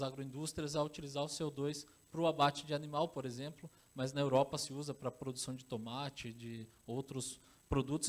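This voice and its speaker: Hesitant man giving a conference talk. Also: on the high side. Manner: hesitant, many fillers and restarts. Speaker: conference speaker. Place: large conference hall on stage. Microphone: handheld microphone.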